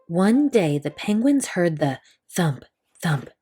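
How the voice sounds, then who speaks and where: A woman reading a children's picture book aloud as a storyteller, with expressive delivery. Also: fairly low pitch, slow pace, husky, soft background music.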